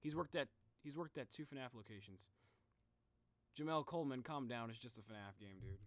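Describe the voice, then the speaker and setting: A young man chatting casually to viewers on a livestream in an informal, animated way. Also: medium pitch, moderate pace, no room sound. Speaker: live streamer.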